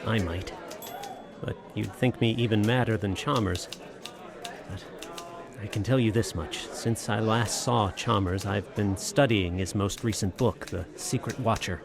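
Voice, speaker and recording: A man's voice: on the low side, a little slow, gravelly, crowd noise. An actor playing a grave, haunted, learned man in a radio drama, reciting dramatically.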